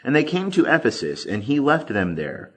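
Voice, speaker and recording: A man reading scripture as scripted audiobook narration, with expressive, deliberate emphasis. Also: medium pitch, husky. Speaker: scripture reader.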